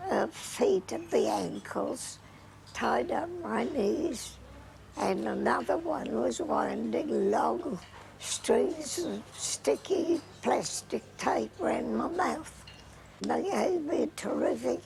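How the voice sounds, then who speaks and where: An older woman speaks in a slow, halting way, her voice very gravelly and somewhat high. People can be heard around her. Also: expressive, over a noisy crowd. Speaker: crime victim interviewee. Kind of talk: news interview testimony.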